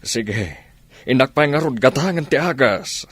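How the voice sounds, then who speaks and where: A man's voice: mid-range voice, expressive, a little slow, a bit bright, slight background noise. An actor with an Ilocano Filipino accent playing a courteous, flirtatious businessman in a radio drama. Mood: apologetic, helpful.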